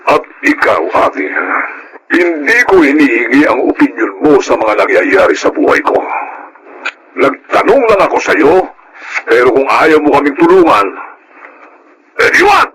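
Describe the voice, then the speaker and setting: A man's voice: medium pitch, very animated, measured pace, pauses often, fairly bright tone, slight background noise, rough recording. An actor playing a callous, hot-tempered middle-aged Filipino father in a radio drama, scornful and boastful. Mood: irritated, demanding.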